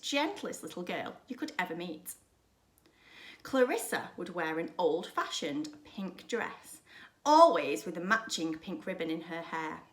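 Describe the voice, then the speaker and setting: A woman reading a children's story aloud like a primary school teacher, dramatically, with emphatic pauses and hushed drops. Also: medium pitch. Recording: roomy, echoing sound.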